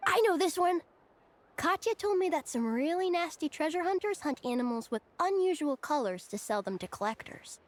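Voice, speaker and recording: A woman's voice: high voice, animated, normal speed. A woman voicing a sweet, trusting, eager little child in a video game, speaking in simple childlike phrases. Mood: eager, unsure. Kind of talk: video game character dialogue.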